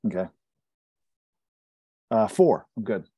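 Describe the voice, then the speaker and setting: A man's voice: lowish voice, very animated, slow, mellow, slightly rough, no room sound, highs cut off. A man playing a tabletop role-playing game, talking casually about his character and rolls.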